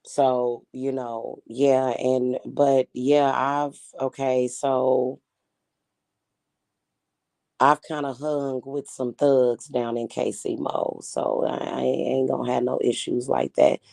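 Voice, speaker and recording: A woman in her forties from Kansas City, with a Midwestern accent and a mellow, warm tone. She chats with viewers in a loose, slangy, outspoken way and often rambles on. The sound is dry and close, with little room reverb. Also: very deep voice, little pitch movement, slow pace, vocal fry. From Kansas City, Missouri. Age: 40s. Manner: rambling, slangy, frank, answers viewers directly. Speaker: livestream host reading chat. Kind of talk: casual chat.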